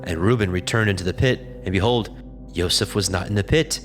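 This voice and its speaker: A man narrating scripture as a scripted reading, in a measured, solemn storytelling manner. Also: deep voice, music in the background.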